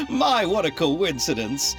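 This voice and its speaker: Excitable, theatrical gentleman film director. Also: sits high, slow, heavily acted. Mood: delighted. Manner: theatrical, flustered, polite. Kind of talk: anime game voice acting. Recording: music behind the voice.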